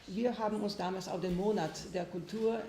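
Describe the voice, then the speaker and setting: Woman interpreter, steady mid voice, echoey and noisy room. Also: mid-range voice, brisk. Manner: fluent, businesslike. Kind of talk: consecutive interpreting. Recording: echoey room with background noise.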